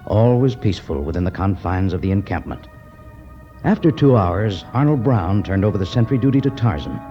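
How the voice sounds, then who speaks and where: A man narrating a 1950s radio adventure serial in a measured, dramatic style. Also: on the low side, lively intonation, warm tone. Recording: muffled 1951 radio recording with music.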